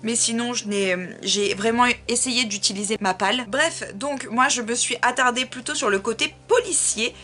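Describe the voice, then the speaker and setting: Woman book vlogger, highish voice, casual chatty talk to viewers, wanders off into little self-mocking asides. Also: normal speed, hardly any pauses, pushed and bright. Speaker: book vlogger presenting a reading challenge.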